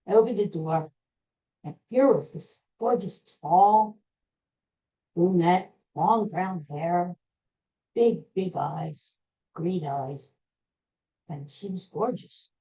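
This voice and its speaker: An older man with an American accent tells personal stories casually, in a halting, rambling, self-deprecating way. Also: high-pitched, dark tone. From Pennsylvania, USA. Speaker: podcast host. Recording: dull, muffled lo-fi audio.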